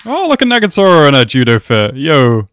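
A man's voice: medium pitch, huge pitch swings, brisk, a bit bright, clean tone. Guy in his 20s doing a casual reaction, slangy and amused, close mic, faint music behind him. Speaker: reaction video host. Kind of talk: casual live reaction commentary. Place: small indoor room. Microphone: close desk microphone.

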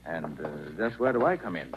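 An actor playing a confident, genial master detective in a 1940s radio drama. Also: male voice, lowish voice, big pitch swings, a little fast, faint music behind. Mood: businesslike. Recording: muffled, noisy 1940s radio recording.

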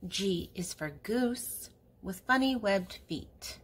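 An older woman reading a children's picture book aloud, speaking in simple, deliberate phrases for young listeners. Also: mid-range voice, animated, fairly bright tone, breathy edge. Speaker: children's book reader and channel host.

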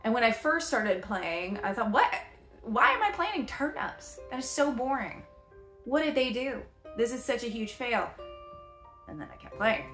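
Woman in her 30s doing a cozy game review, chatty n animated, roomy echo, music playing under it. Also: fairly high pitch, normal speed. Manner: chatty, animated, laughs often. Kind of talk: casual video game review. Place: home living room, seated in a chair.

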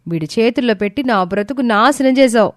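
A woman's voice: a little high, expressive, a little fast, no echo, studio quality, lightly acted. A woman voicing a long-suffering, resentful young married daughter who complains plaintively in an audio story. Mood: hurt, accusing.

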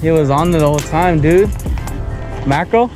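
A man with a Californian accent filming himself fishing on a rocky bay shore, chatty and excited, talking into an action camera. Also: a little high, normal speed, over music. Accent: Californian English. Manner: excited, chatty, says dude a lot. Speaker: fishing vlogger. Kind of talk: casual outdoor chat.

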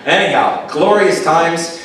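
A man's voice: on the high side, lively intonation, slow pace, fairly bright tone, a little hiss or hum. Echoey folksy Canadian pastor, older man. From Kitchener, Ontario, Canada. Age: late 50s. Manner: folksy, joking. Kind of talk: sermon with personal testimony, anecdotal. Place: chapel, on stage at a pulpit. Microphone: stand mic over speakers, recorded by far camera. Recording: very echoey chapel, distant camera pickup.

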